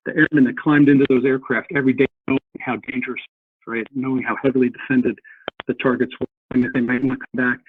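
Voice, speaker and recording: A man's voice: mid-range voice, flat delivery, fast talker, slight background noise. A man explaining history earnestly as a podcast guest, often repeating words as he thinks. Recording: tinny phone-like line.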